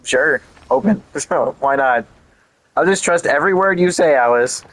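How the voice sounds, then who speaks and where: A man's voice: on the high side, lively intonation, normal speed, fairly bright tone, rough recording. Rambling man commenting over a game. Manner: rambling, thinking aloud. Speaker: gaming video host. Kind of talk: casual playthrough commentary.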